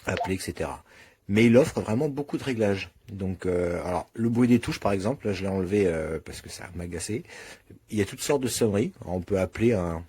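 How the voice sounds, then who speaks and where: A man with a fairly low, husky voice reviews a product off the cuff. His delivery is casual and colloquial, and he thinks aloud while showing how things work. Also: brisk, darkish tone.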